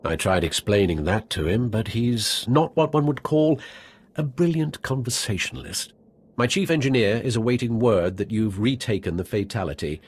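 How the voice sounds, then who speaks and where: A man's voice: on the low side, lively intonation, moderate pace, husky. A man playing a dry-witted military officer in a video game, giving a formal, understated briefing. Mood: dryly sardonic, then businesslike. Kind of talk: scripted video game dialogue.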